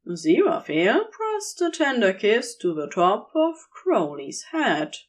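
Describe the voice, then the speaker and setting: A woman's voice: medium pitch, very animated, measured pace. A woman reading scripted fiction as the narrator of an audio story.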